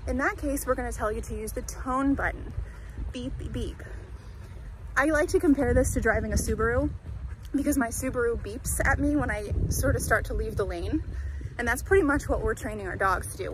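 Woman dog trainer, a touch high-pitched, teaching on the move outdoors with clear, chatty explanations; noisy street recording. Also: a bit bright. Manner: talking while walking a dog. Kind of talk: instructional walk-and-talk. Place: outdoors by a creek in a town center.

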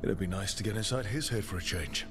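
A man plays a practical, wry companion in a fantasy game. His voice is on the low side, dry and informal. Music is clearly audible behind him. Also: fast talker, lightly acted. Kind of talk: game party dialogue.

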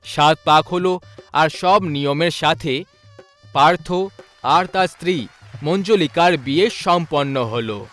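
A man narrating a scripted ghost story in a dramatic, suspenseful manner with pauses. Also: a little high, a bit bright. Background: faint background music.

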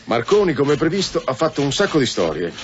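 Hesitant police subordinate, dull recording. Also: male voice, fairly high pitch, sing-song, fairly quick. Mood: dutiful. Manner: stammering, bureaucratic when reading aloud. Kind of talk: Italian radio drama acting. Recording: dull, noisy radio drama recording.

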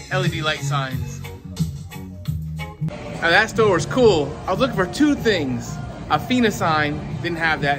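A higher-voiced man in his forties chats and jokes his way through a walking tour, talking into a handheld camera at arm's length. Loud music spills out of the shops behind him. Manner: chatty, jokey, talks to viewers while walking. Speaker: travel vlogger. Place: outdoors at a tourist shopping street.

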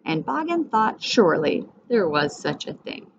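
Female teacher, middle-aged, unhurried and very animated storybook delivery, slightly dull recording. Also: on the low side. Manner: expressive, addressing children directly. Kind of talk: storybook read-aloud for young children. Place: small indoor room with whiteboard. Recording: dull sound, faint background noise.

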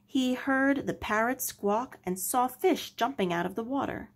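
Husky woman reading a storybook aloud. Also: a little high, unhurried. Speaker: storybook reader. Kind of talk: scripted children's story narration.

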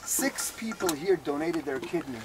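A man's voice: on the high side, lively intonation, normal speed, a crowd in the background. A man in his thirties from Israel, talking casually and jokingly to a tour group on a hilltop lookout, with many fillers and restarts. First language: Hebrew. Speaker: local guide. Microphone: far camera.

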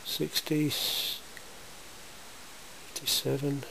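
Slow-talking, gravelly man, flat delivery. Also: a little high. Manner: matter-of-fact, measured, reads out figures. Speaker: welding demonstrator. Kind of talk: informal hands-on demonstration commentary. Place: indoor cluttered workshop. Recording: hissy, noisy amateur camera sound.

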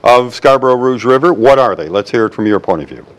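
An older man moderating a televised debate in a studio, briskly cutting in with questions. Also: medium pitch. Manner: brisk, interjecting, lively intonation.

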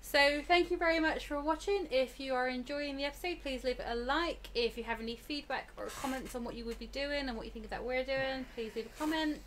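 A woman's voice: sits high, fairly quick, hardly any pauses, a bit bright, slight background noise. A woman hosting a game playthrough, switching between reading aloud and casual chat. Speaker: gaming video host. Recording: game music behind the voice.

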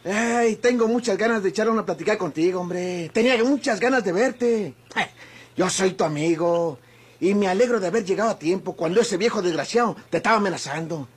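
An actor playing a boisterous, trigger-happy gunslinger in an old radio drama, taunting and folksy, with a rural Mexican accent. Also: male voice, sits high, a little fast, slightly rough. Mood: jovial. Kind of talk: Mexican golden-age radio drama acting.

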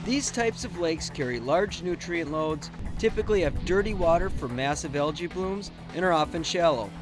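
Male American fishing show narrator, fairly high register, light music underneath. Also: lively intonation, normal speed. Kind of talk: scripted narration and on-the-water chat.

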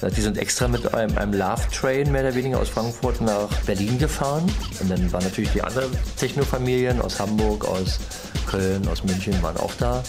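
A man's voice: fairly low pitch, a little fast. A man giving a spoken recollection as an interviewee in a documentary. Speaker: techno scene veteran interviewee. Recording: music under the voice.

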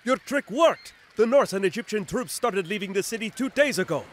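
A man's voice: high-pitched, expressive, brisk, a bit bright. An actor playing a sly, treacherous lieutenant in a video game, smug and scheming in his delivery. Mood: sly, obliging. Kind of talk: dramatic video game voice acting.

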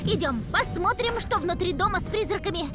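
A woman voicing a curious, excitable young girl in a cartoon dub. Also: very high-pitched, brisk, over music. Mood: eager. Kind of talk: Russian cartoon dubbing.

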